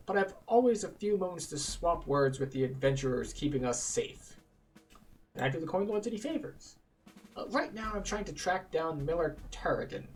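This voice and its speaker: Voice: mid-range voice, close to an everyday voice. Player voicing a polite male tax collector, distant mic. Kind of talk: reading out video game quest dialogue.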